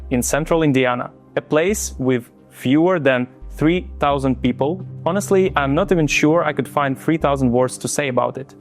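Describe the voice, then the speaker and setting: A man from Ukraine with a Ukrainian accent, hosting and narrating a true crime story in a chatty way that speaks straight to viewers. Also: mid-range voice. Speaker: true crime channel host.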